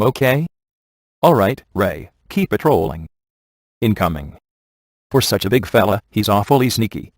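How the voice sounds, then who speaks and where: A man playing a wisecracking, sardonic ghost hunter in a video game, with a deadpan, offhand delivery. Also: on the low side, no room sound, studio quality. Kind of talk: video game voice acting, comic one-liners.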